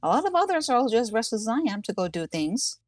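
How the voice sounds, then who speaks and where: A woman's voice: fairly high pitch, sing-song, fast talker, no echo, studio quality. A woman voicing a playful, restless woman adventurer with a teasing manner.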